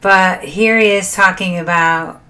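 A middle-aged woman hosting a reaction video, chatting to camera in a low, quiet voice from a small home room. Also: steady tone, measured pace. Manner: soft-spoken, laughs between thoughts. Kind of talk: casual chat to camera.

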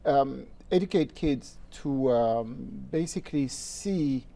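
Relaxed French-accented man in a studio. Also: mid-range voice, slow pace. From France. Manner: relaxed, easygoing, laughs often. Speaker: guest musician. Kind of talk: casual interview chat. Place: TV studio set.

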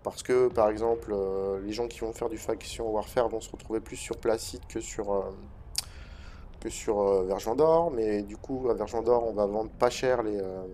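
Casting note: man, stuffy with a cold, mellow dark tone, hesitant gaming commentary, faint room hiss. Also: on the low side, normal speed. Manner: hesitant, many "euh" fillers and restarts. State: has a head cold. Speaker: gaming video host. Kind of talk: relaxed commentary over gameplay.

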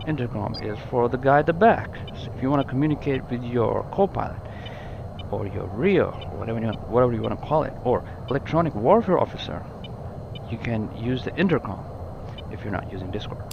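A man's voice: mid-range voice, creaky, muffled recording, background noise. A man giving a casual, explanatory walkthrough of a flight simulator cockpit, informally repeating and rephrasing as he goes. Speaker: gaming tutorial presenter.

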